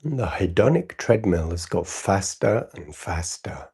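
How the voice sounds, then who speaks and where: A man with a low, mellow voice guides a meditation in short, soothing phrases. His tone is husky and soft-edged, and he leaves long gaps as he gently instructs the listener. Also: very animated. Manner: spontaneous, unscripted, with long pauses between short phrases. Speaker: meditation teacher hosting a livestream.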